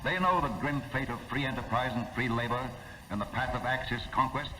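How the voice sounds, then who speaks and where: A man's voice: medium pitch, a little fast, heavy background noise. An American man narrating a 1940s wartime propaganda film in a solemn, emphatic, declamatory way, on a vintage film soundtrack.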